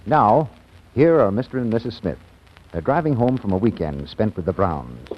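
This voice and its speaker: A man's voice: lowish voice, very animated, moderate pace. A man announcing from a script in the style of 1940s network radio. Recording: dull old radio broadcast, faint noise.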